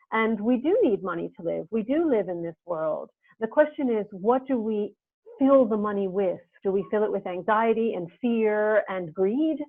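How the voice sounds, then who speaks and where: A woman's voice: medium pitch, no echo. An earnest woman hosts an interview over a video call, thinking aloud in long, winding sentences. Her voice comes through thin and phone-like, with a dry, close sound. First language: English. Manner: earnest, reflective, speaks in long winding thoughts. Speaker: interviewer and host.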